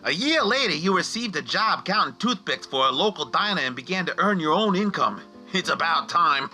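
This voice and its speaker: Cheeky Hanukkah spirit, very sharp forceful voice, lively swooping pitch, brash teasing delivery, comic radio play. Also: male voice, normal speed, hardly any pauses, faint music behind.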